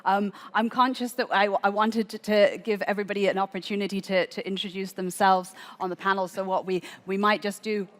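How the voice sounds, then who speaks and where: A woman's voice: medium pitch, fairly even intonation, a little fast, few pauses, fairly bright tone. A woman moderating a conference panel, gracious and full of small restarts. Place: conference hall.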